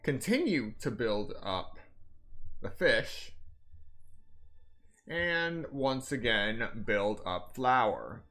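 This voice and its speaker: A male game streamer with a mid-pitched, bright voice talks through his thoughts live. He speaks slowly and deliberately, in halting phrases. Faint music plays behind him. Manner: deliberate, halting phrasing with frequent throat clearing.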